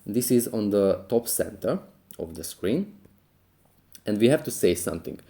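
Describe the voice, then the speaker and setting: A man's voice: lowish voice, sing-song, unhurried, dark tone. A man hosting a step-by-step app tutorial, explaining each action in a plain, instructive way. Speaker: tutorial host.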